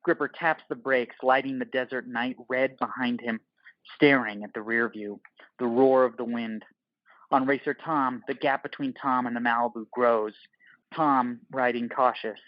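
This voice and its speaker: A man reads scripted narration for an audio play. His voice sits at a middle pitch, and the recording is very dry with a dulled top end. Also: fairly even intonation, moderate pace, dry recording, highs cut off.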